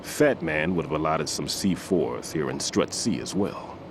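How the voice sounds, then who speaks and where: A man playing a methodical, somber veteran bomb disposal expert in a video game cutscene, explaining precisely and gravely. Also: rumbling low, wildly swooping pitch, a little slow, background noise, full character voice. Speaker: demolition expert giving instructions.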